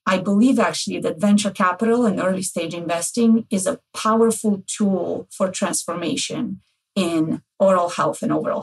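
A woman's voice: medium pitch, husky, low-quality recording. Poised woman healthcare executive guest. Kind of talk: podcast interview.

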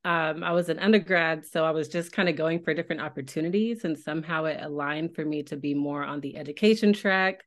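Low-pitched American woman, chatting casually. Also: brisk, hardly any pauses. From Tulsa, Oklahoma, United States. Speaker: podcast guest, speech-language pathologist. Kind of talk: casual interview storytelling.

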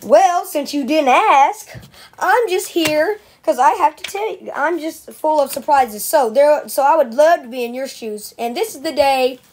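Woman voicing a high-pitched, boastful cartoon suitor. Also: a little mellow, a little hiss or hum. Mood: smug. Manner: colloquial, slangy, halting. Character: boastful, pushy male suitor in a cartoon parody. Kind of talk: amateur comedic voiceover dub of an animated film.

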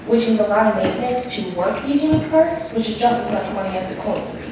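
A woman's voice: on the high side, animated, quick pace. A teenage American woman from New York State giving a prepared presentation as a student. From New York State, United States. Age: teens, high school student. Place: large auditorium stage, far camera. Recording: echoey auditorium, distant mic, noisy.

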